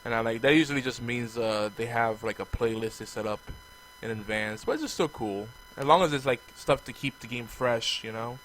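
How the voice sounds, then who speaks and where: A man's voice: mid-range voice, fairly quick, a bit bright, a little hiss or hum, poor audio. Man losing his voice, casual gamer chat. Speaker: podcast co-host.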